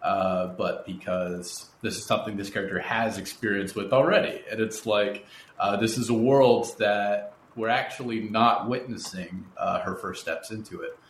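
A man hosting a conversational interview, speaking in thoughtful, measured, articulate phrases. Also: lowish voice. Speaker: interviewer, podcast host. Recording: roomy echo with background noise.

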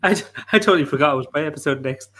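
A man's voice: on the high side, racing, bright, forceful tone. A man on a casual podcast panel, teasing his friends with plenty of swearing. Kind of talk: casual bantering group chat.

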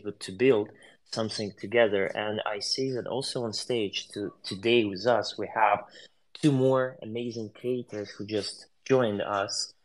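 A man's voice: fairly low pitch, rough recording. Upbeat man hosting an audio chat. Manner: enthusiastic, effusive praise. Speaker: host. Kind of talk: live audio space discussion.

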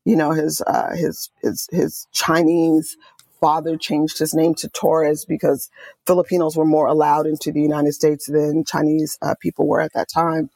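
Lively storytelling woman, dry close sound. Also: sits low, normal speed, vocal fry, no echo. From Oakland, California. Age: middle-aged. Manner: enthusiastic, animated storytelling with quoted asides. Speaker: guest author and music journalist. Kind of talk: conversational interview.

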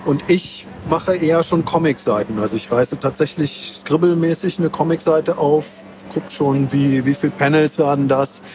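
A man's voice: a little high, moderate pace. A man who works as a comic artist and author, chatting casually in an interview and explaining step by step in everyday words. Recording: clearly noisy, ambient background noise.

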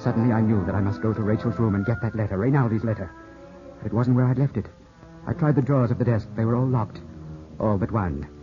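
A man performs an anguished young Englishman in love, brooding and suspicious, in the intense manner of 1950s radio drama. The sound is dull and dated, with music clearly behind him. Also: on the low side, quick pace, natural voice. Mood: tense, brooding narration.

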